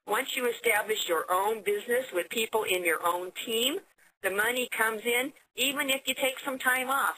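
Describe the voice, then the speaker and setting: An American woman hosting a business conference call, giving an upbeat sales pitch and often repeating words as she goes. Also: bright, forceful tone, poor audio. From United States.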